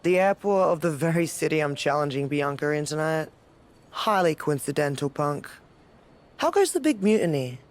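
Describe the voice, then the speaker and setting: A woman's voice: low voice, lively intonation, moderate pace. A woman playing a cocky, rebellious champion wrestler in a video game cutscene, speaking with dry, teasing self-assurance. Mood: dry, confident.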